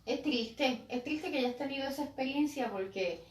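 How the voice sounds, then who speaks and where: A woman's voice: high voice, normal speed. A Puerto Rican woman speaking Spanish with a Puerto Rican accent in a conversational discussion, as a guest. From Puerto Rico. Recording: echoey room, distant microphone.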